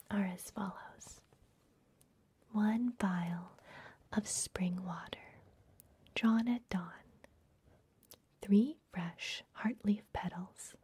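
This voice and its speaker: A woman playing a secretive, soothing vampire librarian in a fantasy ASMR roleplay, speaking in a soft, breathy whisper close to the microphone. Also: mid-range voice. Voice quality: breathy, mostly unvoiced whisper. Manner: soft, gentle, unhurried, confiding.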